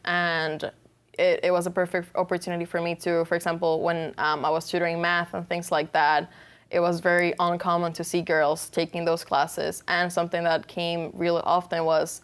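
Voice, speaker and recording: Young woman from venezuela, lowish pitch, smooth voice, earnest interview guest. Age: young adult. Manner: earnest, many fillers and restarts. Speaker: guest, engineering student. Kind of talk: interview answers.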